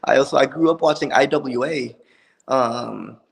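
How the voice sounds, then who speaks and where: A young man from Puerto Rico with a lisp, a wrestler answering interview questions in a relaxed, joking way with many ums. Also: medium pitch, moderate pace, creaky. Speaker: wrestler, guest. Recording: long reverb.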